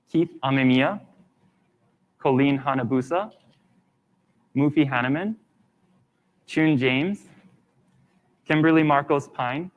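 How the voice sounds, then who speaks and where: A man co-hosting a live candidate event, friendly and conversational as he reads out names and welcomes people. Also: medium pitch. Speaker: event co-host.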